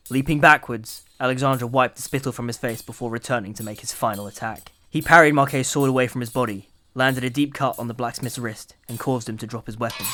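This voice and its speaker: A man's voice: medium pitch, a bit bright. A man narrating a scripted dramatic short story in an audio drama.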